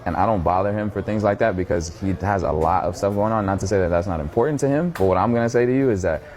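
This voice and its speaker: Low-voiced clerk speaking fast. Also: male voice, a little mellow. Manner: patient, firm, rambling explanations. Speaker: store clerk.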